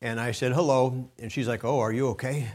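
Pastor guy preaching, slightly gravelly, folksy, tells stories. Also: mid-range voice, fairly even intonation, fairly quick. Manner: folksy storytelling, loud bursts when quoting his own preaching. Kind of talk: sermon.

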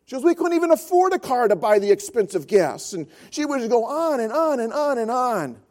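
A high-pitched man delivers a Sunday sermon. He tells a story with an earnest tone and drives his points home by repeating phrases. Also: expressive, brisk, a little mellow. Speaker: pastor.

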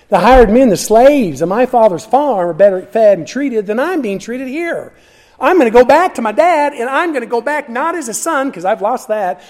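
A middle-aged man preaching a sermon, animated, acting out quoted lines, with frequent restarts. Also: high voice. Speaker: pastor.

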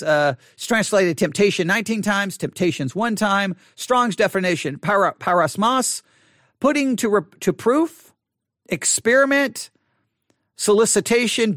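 A man leading a Bible study, thinking aloud in a halting way and often repeating words as he works through an idea. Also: high voice, fairly bright tone, no echo, clean studio sound.

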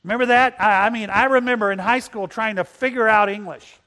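A man's voice: high-pitched, pushed and bright. A man preaching a Sunday sermon whose first language is Spanish, reading scripture and asking the congregation questions. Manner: asks the congregation questions and slows for emphasis. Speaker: pastor.

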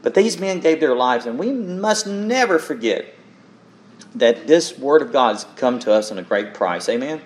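A man's voice: medium pitch, expressive, moderate pace. A man preaching a sermon and reading scripture in an earnest, emphatic manner, repeating words for weight and checking in with his listeners.

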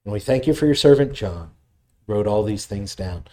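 A man preaching, reading scripture aloud, praying, then explaining the passage in a measured, teaching way. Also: animated, warm tone. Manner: measured expository preaching, voice drops low in prayer. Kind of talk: sermon with scripture reading and prayer.

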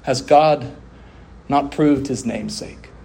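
A man delivering a sermon as a preacher, with emphatic oratory, deliberate pauses, drawn-out phrases and rhetorical refrains. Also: medium pitch, mellow. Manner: rhetorical questions and repeated refrains.

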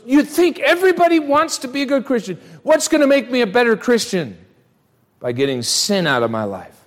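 A man preaching a sermon in a conversational way, often putting rhetorical questions to his listeners. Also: high voice. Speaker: preacher at a church. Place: large reverberant church room, live service. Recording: reverberant hall, live church sermon.

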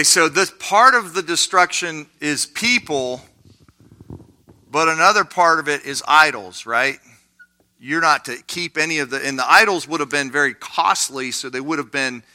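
Guy teaching bible study, lots of ums and asides, sharp bright voice. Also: a little high, normal speed. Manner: conversational, with many fillers and asides.

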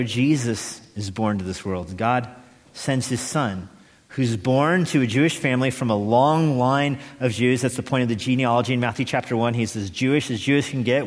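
A man preaching an expository sermon as a pastor, emphatic and deliberate, repeating key phrases with pauses. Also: medium pitch. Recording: echoey hall with long reverb.

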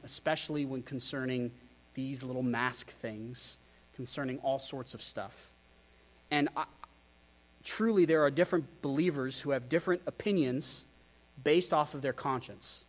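A man preaching a sermon, candid and self-deprecating, who asks his listeners rhetorical questions. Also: mid-range voice. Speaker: pastor.

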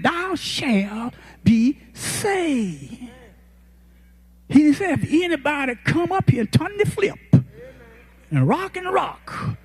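Husky old man preaching with fervor. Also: very high-pitched. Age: 80s. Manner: impassioned, folksy preaching with shouted peaks. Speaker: pastor. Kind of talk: sermon.

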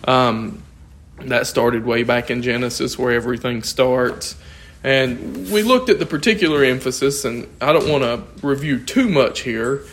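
A man's voice: medium pitch, a little hiss or hum. A man, losing his voice, teaching an informal Bible class in a conversational, thinking-aloud way and asking the class questions.

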